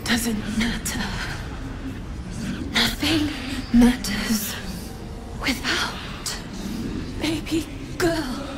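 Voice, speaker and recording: An actress playing a bereft, bitter mother in a video game, speaking in broken, halting, grief-stricken phrases. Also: on the high side, very noisy. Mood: anguished despair. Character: bereft, bitter mother mourning her baby girl. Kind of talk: video game character acting.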